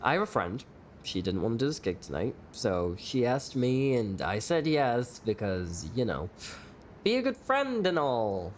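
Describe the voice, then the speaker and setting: A man's voice: medium pitch, sing-song, unhurried, slight background noise. An actor playing a sassy, hot-tempered young male bar performer in an audio drama, sarcastic, flippant and sweary. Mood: teasing banter.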